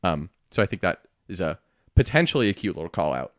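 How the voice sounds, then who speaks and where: Casual podcast host: man, lower register, very dry sound. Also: very animated, fast talker, no echo. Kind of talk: casual book discussion podcast.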